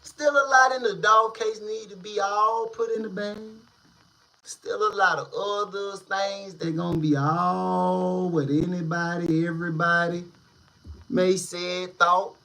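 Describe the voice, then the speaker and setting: A man hosting a casual street-news commentary channel, talking in slang with drawn-out, emphatic delivery. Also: sits high, flat delivery, sharp tone, low-quality recording.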